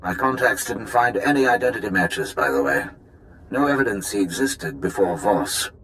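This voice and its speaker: A man's voice: on the low side, lively intonation, moderate pace, a bit bright, low-quality recording. A man playing a wry, matter-of-fact undercover agent in a video game, giving dry, informal briefings. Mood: wry, businesslike. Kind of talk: video game dialogue acting.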